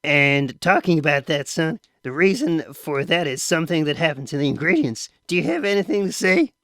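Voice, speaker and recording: A man's voice: fairly high pitch, big pitch swings, fairly quick, a bit bright, no room sound, clean studio sound, pitched above the performer's own voice. A man doing a stern, demanding father. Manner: firm, clipped and questioning. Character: stern wealthy father, firm and impatient.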